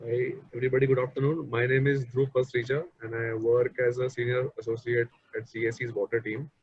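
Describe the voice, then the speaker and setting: A man working as a senior associate on a water team, introducing himself softly on a video call. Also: mid-range voice, little pitch movement, brisk, slightly rough. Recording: muffled video-call audio.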